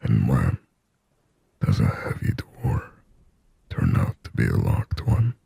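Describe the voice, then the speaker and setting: A man voicing a shy, possessive sleep paralysis demon in an ASMR roleplay, in a halting, intimate, breathy whisper. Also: huge pitch swings, no room sound, exaggerated voice. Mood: anxious musing. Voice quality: breathy, husky whisper with low gravelly creak. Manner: slow, halting, hushed, intimate.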